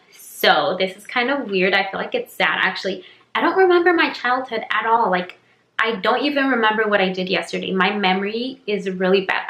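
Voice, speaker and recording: Bright chatty young woman, echoey room. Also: mid-range voice. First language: Spanish. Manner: chatty, rambling, heavy use of "like" and "um". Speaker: YouTuber. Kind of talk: casual get-to-know-me Q&A.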